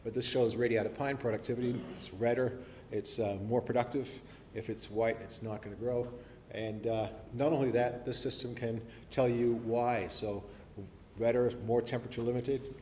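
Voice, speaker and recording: A middle-aged man with a mixed accent, not typically Kiwi, speaks at a middle pitch during a live presentation. He talks in a chatty, self-deprecating way and restarts often. The sound is a live recording in a hall, with a long reverb and faint hiss behind him. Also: steady tone, normal speed, a little mellow. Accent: mixed, not typically New Zealand. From New Zealand. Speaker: forestry professor giving a talk. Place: lecture hall.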